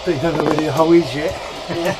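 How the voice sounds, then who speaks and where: A man's voice: fairly even intonation, quick pace, over loud music. A man chatting casually in short remarks as he helps with a hands-on job. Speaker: helper in a boat restoration. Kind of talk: casual chat while working. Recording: very noisy outdoor sound, birds, rumble.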